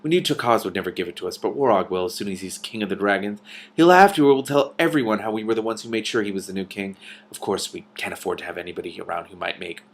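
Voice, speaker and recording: A man's voice: fairly low pitch, quick pace. A man reading a storybook, voicing a smug, pompous, theatrical wizard villain who turns petulant when thwarted. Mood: smug, gloating. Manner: superior, pompous.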